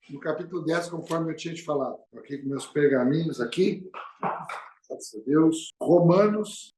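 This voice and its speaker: Fervent high-voiced preacher. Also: male voice, darkish tone. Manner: fervent preaching with rhetorical questions and calls of amen. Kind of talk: sermon. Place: church hall. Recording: echoey church hall.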